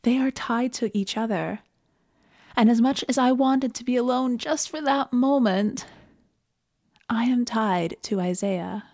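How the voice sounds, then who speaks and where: A Canadian woman giving a reflective, scripted sermon in an earnest and measured manner. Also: fairly high pitch, darkish tone. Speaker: preacher.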